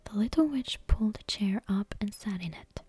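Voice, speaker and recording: Woman doing asmr bedtime story, super breathy whisper, mellow, gentle careful reading. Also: medium pitch, expressive, moderate pace. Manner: gentle, careful, hushed read-aloud delivery.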